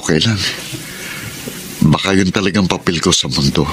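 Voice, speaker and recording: A man's voice: sits low, moderate pace, a little hiss or hum, lightly acted. An actor with a Filipino accent playing a heartbroken, forgiving jilted man in a radio drama, with music behind him. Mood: resigned. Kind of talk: Tagalog radio drama acting.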